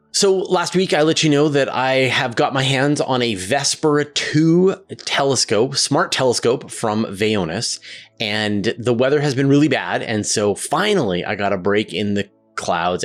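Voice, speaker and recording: A man hosting a science show, explaining things to viewers in a casual, conversational way. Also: on the low side. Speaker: host of an astronomy Q&A show.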